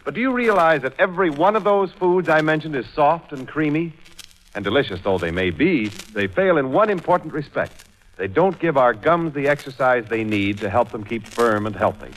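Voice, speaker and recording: Animated American male radio announcer. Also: fairly high pitch, normal speed, low-quality recording. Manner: polished, persuasive. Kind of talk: 1940s radio commercial and announcing.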